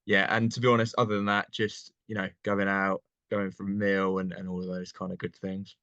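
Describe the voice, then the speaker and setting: A young man from England chatting casually as an interview guest, relaxed and laughing often. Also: sits low, a little fast, no room sound, highs cut off. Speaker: guest, online seller.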